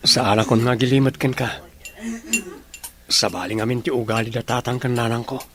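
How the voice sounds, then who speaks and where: Man playing a young Ilocano grandson, polite, earnest, mid-pitched voice, radio drama. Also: steady tone, moderate pace, slight background noise, lightly acted. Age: young adult. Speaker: grandson and boyfriend character.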